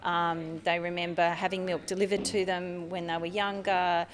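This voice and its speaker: Low-voiced Australian woman, earnest guest. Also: little pitch movement, normal speed. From Tasmania, Australia. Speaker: marketing manager guest. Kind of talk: podcast interview.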